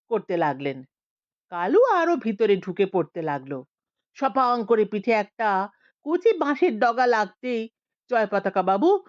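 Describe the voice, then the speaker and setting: A woman narrating a comic spooky story from a script in an expressive, dramatic, unhurried way. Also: mid-range voice, dry recording, lo-fi sound.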